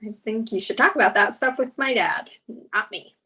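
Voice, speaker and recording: A woman's voice: on the high side, normal speed, bright, forceful tone, slightly rough, exaggerated voice. A woman playing a candid, exasperated young girlfriend with a wry manner in a comedy script table read.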